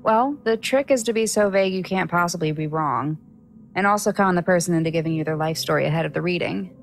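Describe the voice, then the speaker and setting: A woman's voice: on the low side, quick pace, slight background noise, lightly acted. A woman playing a wry, chatty, self-doubting young stage magician in an audio drama. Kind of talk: casual audio drama acting.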